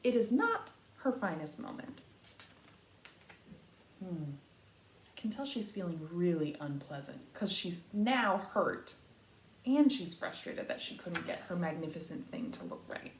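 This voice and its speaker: A woman reading a picture book aloud to young children like a teacher, with expressive, emphatic phrasing and explanatory asides. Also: on the low side, measured pace. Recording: echoey room, faint background noise.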